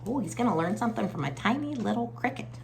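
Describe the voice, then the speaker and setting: A woman reading a children's story aloud expressively, stretching words and slipping into hushed, chatty asides to young listeners. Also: fairly high pitch, animated, fast, gravelly, a little hiss or hum.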